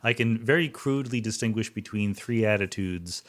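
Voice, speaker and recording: A man's voice: fairly low pitch, normal speed. A man hosting a podcast, speaking in an articulate, explanatory way. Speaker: podcast host. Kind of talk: podcast hosting, interview talk and ad read.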